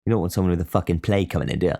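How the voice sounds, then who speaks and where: A man's voice: low voice, racing, vocal fry, dark tone. A young man giving a blunt, sweary casual monologue as a podcast host. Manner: blunt, swears freely, ranting.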